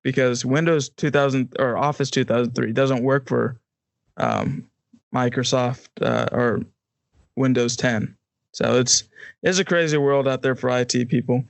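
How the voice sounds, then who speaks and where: A man's voice: mid-range voice, expressive, measured pace, vocal fry, dry recording. A man co-hosting a podcast, chatting casually in a joking, emphatic and informal way. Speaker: podcast co-host. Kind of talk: casual chat.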